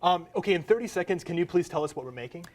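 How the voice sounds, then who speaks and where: A man's voice: sits high, lively intonation, racing. A young man hosting a student-produced studio program, reading his lines a bit stiffly and eagerly. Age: young adult. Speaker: student show host.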